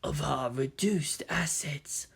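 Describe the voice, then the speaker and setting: A narrator voicing a sinister, severe old man, a conspirator with a breathy, whispery voice. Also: mid-range voice, slow pace, full character voice. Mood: menacing, grave.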